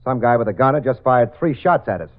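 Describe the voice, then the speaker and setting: A man's voice: medium pitch, lively intonation, fast talker, natural voice. An actor playing a wry, wisecracking male private detective who narrates an old radio drama. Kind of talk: 1940s radio acting. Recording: tinny old radio broadcast with music.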